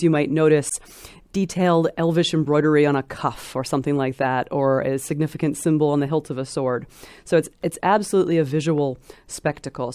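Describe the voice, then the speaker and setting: A woman scholar giving considered commentary as an interview guest, speaking in an articulate, measured and emphatic way. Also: sits low, a little mellow, dry recording.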